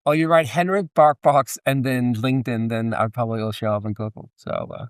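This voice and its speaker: A man with a Danish accent speaking casually as an interview guest, in a somewhat halting, hedging way. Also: mid-range voice, smooth, no echo, clean studio sound. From Denmark. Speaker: podcast guest, entrepreneur and author.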